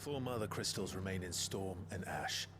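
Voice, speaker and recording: Clive, a deep, grim warrior leader played by a man. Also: moderate pace, lightly acted. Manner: terse and commanding. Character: grim, scowling young male warrior leader. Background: loud orchestral score.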